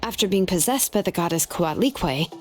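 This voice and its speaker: A woman's voice: fairly low pitch, lively intonation, brisk, a little mellow, lightly acted. A woman playing an easygoing, matter-of-fact young woman, a considerate friend, in video game dialogue. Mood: matter-of-fact, explaining. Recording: game music behind the voice.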